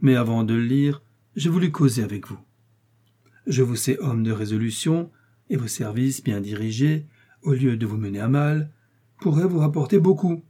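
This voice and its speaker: A man reading an audiobook, voicing a powerful, scheming older cardinal who speaks in a smooth, persuasive, lofty way. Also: mid-range voice, lively intonation, normal speed, dark tone. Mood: pressing.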